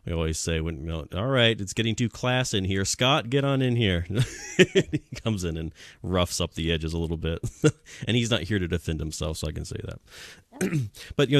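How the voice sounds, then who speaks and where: A man's voice: low voice, lively intonation, normal speed, no echo, clean studio sound. A man hosting an online talk show over a video call, joking and laughing a lot while he asks rambling questions. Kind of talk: casual interview chat.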